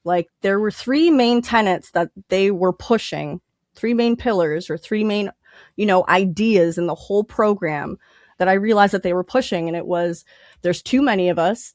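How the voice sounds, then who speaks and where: A woman's voice: mid-range voice, a bit bright, dry recording, clean studio sound. A woman guest in a casual podcast interview, explaining things emphatically and repeating her key points. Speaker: podcast guest, independent music artist.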